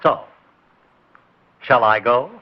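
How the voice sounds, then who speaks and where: A man's voice: mid-range voice, slow. An actor playing a smug, mysterious male houseguest in an old radio drama, talking in a cool, mocking way. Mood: teasing. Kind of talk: 1950s radio drama acting. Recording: dull old radio recording.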